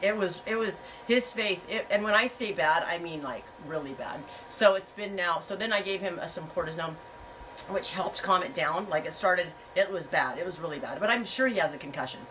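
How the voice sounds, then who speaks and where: A woman's voice: lowish voice, normal speed, a bit bright, recorded from a distance, a little hiss or hum, rough recording. A woman on her farm vlog, chatting and rambling to her viewers with a stuffy head cold, laughing often. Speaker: yarn and fiber farm vlogger. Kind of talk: casual storytelling to viewers. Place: home on a farm.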